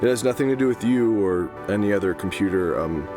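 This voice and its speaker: A man playing a bewildered, grumbling retired grandfather in an audio drama, speaking in a halting stammer. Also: lowish voice, animated, lightly acted. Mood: embarrassed. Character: crotchety, bewildered retired grandfather, newly dead and digitized. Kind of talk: scripted audio drama acting. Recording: music behind the voice.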